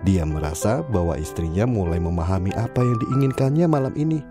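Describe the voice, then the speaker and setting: A man narrating an audio drama in a measured storytelling manner. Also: low-pitched, animated. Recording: music underneath.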